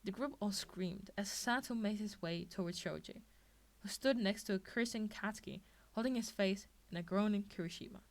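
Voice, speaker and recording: A woman's voice: medium pitch, moderate pace. A woman reading a scripted fan fiction story aloud as its narrator. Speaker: podfic reader.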